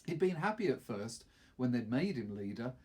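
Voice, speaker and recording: A middle-aged man with a husky voice, reading a novel aloud expressively. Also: mid-range voice, big pitch swings, normal speed, a little mellow. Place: small wood-panelled study, indoors.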